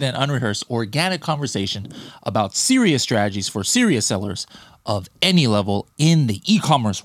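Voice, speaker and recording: A man hosting a podcast interview in a loose, chatty way, full of fillers and restarts. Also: mid-range voice. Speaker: podcast host. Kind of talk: casual interview chat.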